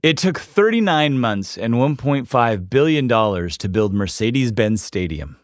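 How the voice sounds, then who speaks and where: A man's voice: mid-range voice, sing-song, a little slow, no room sound, clean studio sound. A man reading scripted, informative narration as the host of a documentary series.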